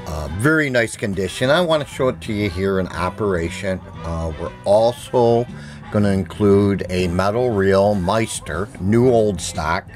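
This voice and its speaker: A man's voice: fairly low pitch, unhurried, soft background music. A middle-aged man giving a casual, plainspoken product demo with repetitive sales patter, recorded on a handheld camera indoors. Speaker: seller demonstrating used audio gear. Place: indoor room at home, music playing behind. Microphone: handheld camera mic, speaker behind camera.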